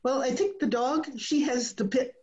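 A woman's voice: high voice, moderate pace, a little mellow, natural voice. A woman playing a brusque, odd dog doctor with stagey, clipped phrasing in an old-time radio comedy, on a muffled video call. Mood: jolly, shady. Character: brusque, cackling quack dog vet Doctor Spitz.

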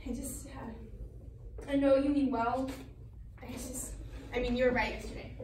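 An actress plays a weary, bitter younger sister in a family drama. Her voice is very high, and her replies are blunt, halting and sarcastic. She is picked up from a little way off in a reverberant room, with faint noise. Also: flat delivery, darkish tone. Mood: weary, hesitant. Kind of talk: dramatic stage-style family dialogue acting. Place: echoey room, recorded from a distance. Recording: roomy, distant mic with faint noise.

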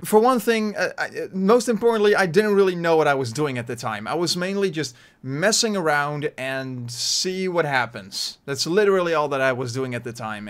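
A young Dutch man in his twenties with a Dutch accent, talking casually to his webcam from his bedroom, rambling with lots of fillers and restarts. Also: on the high side. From Netherlands. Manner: animated hand gestures while talking. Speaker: YouTuber. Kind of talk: casual vlog talk to webcam. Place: small bedroom, indoors. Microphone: desk mic in front of webcam.

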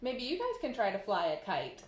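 A woman's voice: medium pitch, wildly swooping pitch, moderate pace, a little hiss or hum. A woman in her thirties reading a children's picture book aloud in a sing-song way, talking directly to young kids as a library storyteller. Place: small room indoors by a window, seated. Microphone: camera mic a short distance away. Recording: roomy echo from camera mic.

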